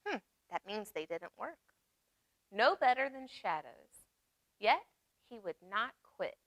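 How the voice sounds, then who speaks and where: A woman reading aloud at storytime for young children, expressive, pausing to explain and ask questions. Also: medium pitch, no echo. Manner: expressive, asks listeners questions and explains words. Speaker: children's library storytime reader.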